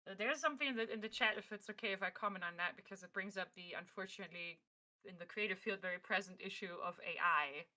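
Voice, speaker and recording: A woman talking casually and emphatically on a livestream panel, with an encouraging, animated manner. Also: medium pitch, quick pace. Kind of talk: casual panel talk, giving advice and opinion.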